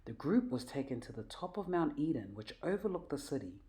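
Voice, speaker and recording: A woman reading a children's story aloud in an animated, emphatic, expressive way. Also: deep voice, a little fast. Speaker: storybook reader.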